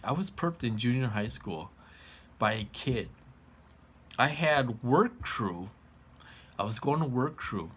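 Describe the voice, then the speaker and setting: An American man in his forties giving an informal monologue to viewers, colloquial, repetitive and emphatic. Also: mid-range voice. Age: 40s. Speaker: vlogger.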